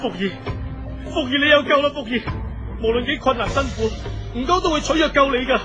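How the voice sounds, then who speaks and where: Impassioned young thief, radio drama, very high pitch, humble, emotional, devoted. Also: male voice, a little fast, lo-fi sound, over music. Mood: desperate, hopeful. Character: devoted, impassioned young male thief, pleading for his beloved. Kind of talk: Cantonese radio drama acting.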